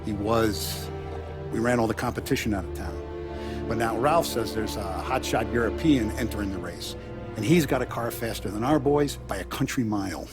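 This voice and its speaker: An actor voices a shrewd mob boss who lays out a plan with measured authority. Music plays under the game cutscene. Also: male voice, fairly low pitch, close to an everyday voice.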